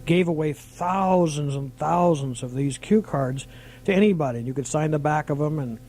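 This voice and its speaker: Mellow, higher-pitched male radio broadcaster. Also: moderate pace, a little hiss or hum. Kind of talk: casual radio chat.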